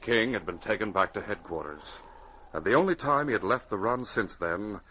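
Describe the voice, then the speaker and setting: A man's voice: on the low side, lively intonation, normal speed. A man narrating a 1940s radio serial from a script as a dramatic storyteller. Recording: muffled old radio recording, faint hiss.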